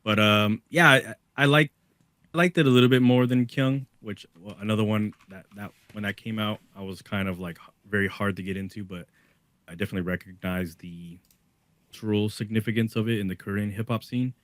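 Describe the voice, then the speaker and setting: A man's voice: on the low side, steady tone, a little fast, fairly bright tone, no room sound. A man co-hosting a music podcast, talking in a laid-back casual way with lots of "like" and "dude". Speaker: podcast co-host. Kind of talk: casual music review chat.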